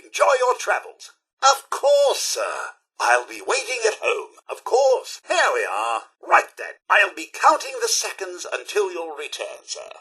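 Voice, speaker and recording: A male British robot butler companion from a video game. The voice is high and piercingly bright, with a slightly rough edge. Every phrase is formal, fussy and theatrically polite, eager to serve. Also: heavily acted. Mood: courteous, dutiful. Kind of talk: short game voice lines.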